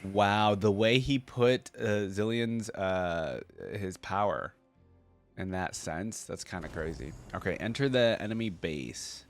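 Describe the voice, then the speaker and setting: A man's voice: fairly low pitch, slow pace, faint music behind. A man hosting a reaction video, commenting casually in short, laid-back remarks as he muses aloud.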